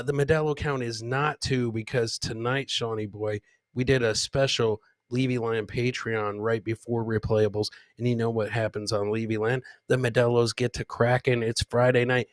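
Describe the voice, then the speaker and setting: A man's voice: medium pitch, bright, forceful tone, no echo. A man hosting a comedy podcast, casually commenting on clips in a boisterous, slangy way with repeated catchphrases.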